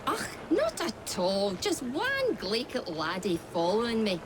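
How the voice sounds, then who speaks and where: A cocksure Scottish gang woman, her voice fairly high and very animated. She is brash and scoffing, in video game style, with clear noise behind her. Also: unhurried. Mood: breezy. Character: breezy, dismissive, cocksure Scottish female gang member. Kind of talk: video game cutscene acting. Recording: voice over clear background noise.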